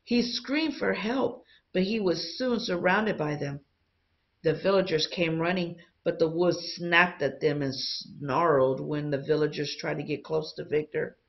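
A woman teacher reading a picture book aloud to young students in an expressive storytelling style. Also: low voice, unhurried, highs cut off.

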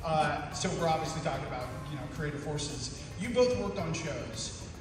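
A man's voice: fairly high pitch, lively intonation, moderate pace, music in the background. A man moderating a convention panel, upbeat and steering the questions. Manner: upbeat, guiding questions, laughs along. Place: convention panel room, indoors. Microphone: handheld mic, filmed from the audience. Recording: echoey hall from far off, audience noise.

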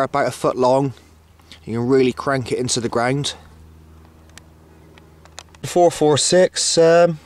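A man with a voice a little higher than average talks casually to a handheld camera outdoors. He is matter-of-fact and hesitant, stopping often mid-thought as he explains things. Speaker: radio hobbyist filming himself. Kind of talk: casual outdoor vlog narration. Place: outdoors on an open hilltop. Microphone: handheld camcorder, operator behind camera.

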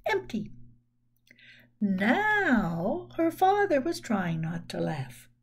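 A woman in her sixties reads to children in a high, very animated voice. She takes her time and explains things simply and expressively. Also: extremely slow, gravelly, breathy edge. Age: 60s. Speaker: children's story reader. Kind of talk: read-aloud storytelling with asides to children. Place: small room at home.

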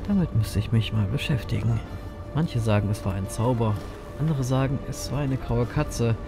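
An actor playing a brooding, wry male grim reaper who narrates his own story in an audio drama, self-mocking and breathy in places. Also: medium pitch, normal speed, over music, natural voice. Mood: dry, conversational.

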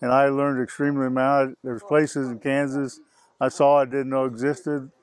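A man's voice: mid-range voice, flat delivery, normal speed. Plain-spoken man, casual chat. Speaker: farm tour participant. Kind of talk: casual interview.

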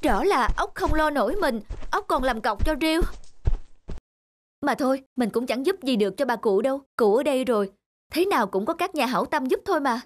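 A woman voicing a flustered, kind-hearted young woman who talks quickly and anxiously, for an animated story. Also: sits high. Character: young working woman, hesitant but kind. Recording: loud background music.

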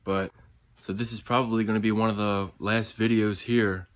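A man's voice: lowish voice, little pitch movement, normal speed. A man in his twenties talking casually and plainly to camera as he shares updates on a car build in a garage. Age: 20s. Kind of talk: casual vlog narration. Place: home garage workshop, indoors. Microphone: handheld phone at arm's length.

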